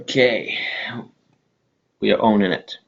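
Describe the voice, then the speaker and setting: Brief: Welsh male vlogger, lowish voice, hesitant and rambling, dull lo-fi recording. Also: creaky. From Wales. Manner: rambling, many ums and uhs, deliberately slowed down. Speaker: vegan YouTuber. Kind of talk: casual talk to camera.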